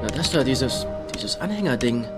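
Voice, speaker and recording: Cocky, lively young psychic detective played by a man. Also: mid-range voice, moderate pace, loud music behind the voice, lightly acted. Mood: confident, musing. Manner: flippant, theatrical, rambling. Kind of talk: dubbed TV comedy-drama acting.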